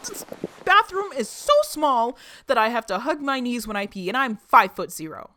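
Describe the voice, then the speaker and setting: A woman's voice: fairly high pitch, lively intonation, moderate pace, pushed and bright, no echo. An actress playing a reflective, homesick refugee woman reading her secret diary in an audio drama, confiding and wry.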